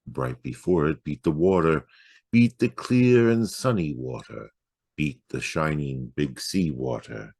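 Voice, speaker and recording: Deep, slow-paced man reading poetry. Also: lively intonation, darkish tone, husky, no room sound, studio quality. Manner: measured, rhythmic, storytelling delivery. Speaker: poetry reader.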